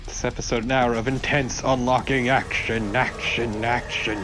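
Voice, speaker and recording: A man chats as co-host of a video game let's play. His voice is average in pitch and his delivery is conversational. Faint game music runs underneath. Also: level tone, normal speed. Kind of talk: casual game banter.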